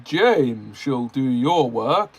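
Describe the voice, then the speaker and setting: A commanding older railway boss in a storybook , voiced by a man. He snaps orders and scolds firmly, with a steady, authoritative delivery. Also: medium pitch, takes it slowly, slightly rough. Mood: stern. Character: stern but kind older male railway boss.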